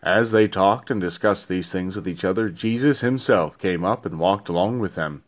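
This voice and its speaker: A man narrating a Bible reading in a measured, solemn way with deliberate phrasing. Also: low voice. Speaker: scripture reader.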